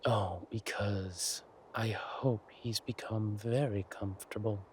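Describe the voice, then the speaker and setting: An actor playing a smug, menacing villain king in an intimate audio roleplay, speaking deliberately and theatrically to a beloved consort. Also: fairly low pitch, steady tone, a little hiss or hum. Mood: cold menace. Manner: slow, deliberate, theatrical, breathy asides.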